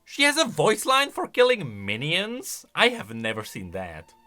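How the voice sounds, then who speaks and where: A man delivers casual reaction commentary on game lore. His voice sits a little high and is very lively in pitch, with a bright, forward edge. He explains things enthusiastically. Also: unhurried, faint music behind.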